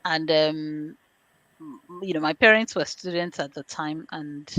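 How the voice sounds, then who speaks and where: A Nigerian-accented woman with a fairly low voice, recorded close and dry. She speaks as an interview guest, explaining conversationally in plain, step-by-step terms. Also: steady tone, a little slow, a bit bright, dry recording. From born in London, grew up in Nigeria. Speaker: interview guest, information governance specialist.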